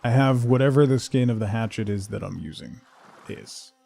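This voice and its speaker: A man hosting a game livestream, rambling casually and haltingly as he thinks aloud and replies to viewers. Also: medium pitch, vocal fry, dark tone. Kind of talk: casual chat while playing, answering viewers.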